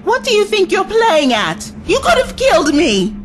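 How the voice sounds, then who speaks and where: A woman's voice: extremely high pitch, huge pitch swings, moderate pace, soft background music, exaggerated voice. An actress playing a feisty, indignant and outspoken woman time traveller in an audio drama.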